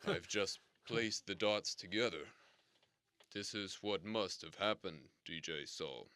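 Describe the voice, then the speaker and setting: An actor playing a formal, theatrical radio station boss who explains things slowly and swears grand oaths. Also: male voice, deep voice, pushed and bright, clean tone, no echo, exaggerated voice. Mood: explaining. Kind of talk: comedic audio drama acting.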